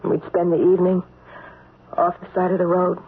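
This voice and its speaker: An actress playing a politician's secret lover, reassuring but exasperated, insistent and reasoning, in an old-time radio drama. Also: female voice, fairly low pitch, completely flat delivery, a little fast, slightly rough. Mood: reflective. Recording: noisy, tinny old radio broadcast.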